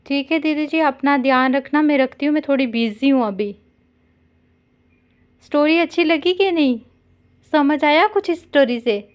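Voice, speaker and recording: High-pitched woman chatting on a call. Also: moderate pace, pauses often. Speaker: caller consoling an older sister figure. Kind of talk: casual phone chat with storytelling. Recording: dull lo-fi call recording.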